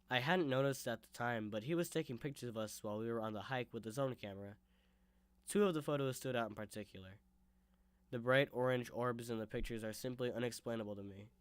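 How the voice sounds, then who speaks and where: A man's voice: medium pitch, quick pace, clear, resonant tone, no echo. A young man telling a story and chatting casually on a vlog while sick with a sore throat and blocked sinuses. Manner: casual, conversational. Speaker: YouTuber.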